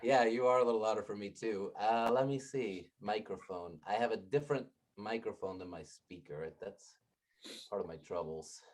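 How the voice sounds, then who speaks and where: Man, lowish voice, rambling casual meeting talk over a laptop mic. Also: normal speed. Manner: rambling, self-interrupting, laughs often. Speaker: meeting participant. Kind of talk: casual work meeting chat. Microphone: laptop speaker mic on video call.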